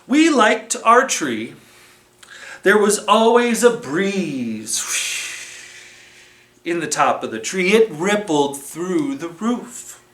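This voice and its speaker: A children's library storytime presenter reading a story aloud in a deliberate, expressive way, recorded in a roomy space at some distance from the microphone. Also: medium pitch. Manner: slow, deliberate, expressive read-aloud delivery. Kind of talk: animated storytelling with felt board.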